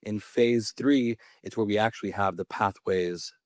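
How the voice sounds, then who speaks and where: A man's voice: fairly low pitch, unhurried, dry recording, studio quality. A man in his thirties from California, a mayor speaking on a conference panel, talking with earnest, passionate conviction. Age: 30s. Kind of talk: panel talk to an audience.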